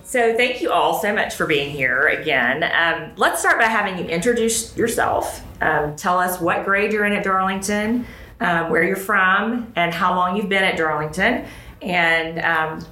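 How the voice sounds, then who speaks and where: A woman's voice: fairly low pitch, a little slow, bright, forceful tone. An American woman hosting a conversational interview podcast as a school dean, recorded in an echoey room. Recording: roomy echo, clear background noise.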